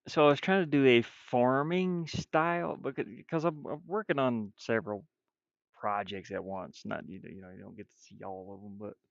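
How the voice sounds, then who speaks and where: A man's voice: mid-range voice, steady tone, normal speed, gravelly, no echo, muffled recording. A man chatting casually on a livestream while he works, rambling and half muttering to himself. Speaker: streamer, game developer. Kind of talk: casual livestream chat while drawing game art.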